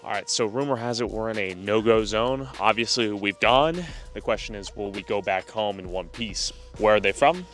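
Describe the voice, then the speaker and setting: A man's voice: lowish voice, moderate pace, few pauses, a bit bright. Male street vlogger, casual and upbeat, questions strangers outdoors, music bed under the voice. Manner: upbeat, questioning, ad-read emphasis. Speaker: vlogger interviewing passersby. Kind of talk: casual street interview and sponsor read.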